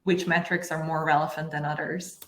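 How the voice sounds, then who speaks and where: A woman's voice: low-pitched, little pitch movement, normal speed, roomy sound. A woman in her thirties hosting a live online webinar, speaking over a video call from an office room. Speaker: webinar host. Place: bright office room with glass walls, indoors.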